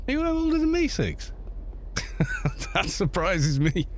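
A man's voice: high-pitched, huge pitch swings, takes it slowly, a little hiss or hum. A man in his fifties from Wales with a Welsh accent touched by northern English, chatting loosely and laughing easily as he streams a game. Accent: Welsh with some northern English. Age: 50s. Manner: chatty, rambling, laughs easily, reads chat aloud. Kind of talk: live stream commentary while playing.

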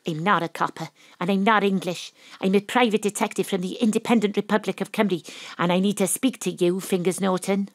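A woman's voice: medium pitch, steady tone, fairly quick, hardly any pauses, no echo, studio quality, natural voice. A woman playing a determined, wry Welsh private detective, acting and narrating in a noir audio drama. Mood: insistent.

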